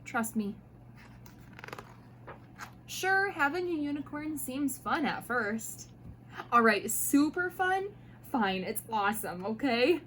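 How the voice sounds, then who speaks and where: A woman reading picture books aloud to children in an expressive, playful way, talking directly to her young listeners. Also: high-pitched, slow, pauses often, a little hiss or hum. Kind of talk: animated storybook read-aloud.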